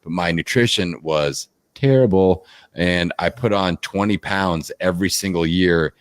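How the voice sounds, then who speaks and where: A man with a very deep, low voice chats as an interview guest, energetic and rambling with plenty of fillers. The sound is dry and close, with no room echo. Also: measured pace. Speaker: podcast guest, fitness coach. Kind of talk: casual interview chat. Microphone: video call.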